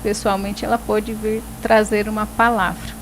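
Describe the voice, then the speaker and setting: A woman's voice: medium pitch, little pitch movement, poor audio. Woman talk host amid traffic noise. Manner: reflective, filling pauses with "né". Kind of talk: talk show hosting.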